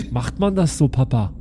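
A man playing a naive, excitable alien son in an improvised audio play, speaking childlike broken German with a repeated verbal tic. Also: medium pitch, background noise.

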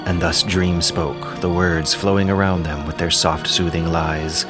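A man giving measured, theatrical narration of an epic audio drama over music. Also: deep voice, little pitch movement.

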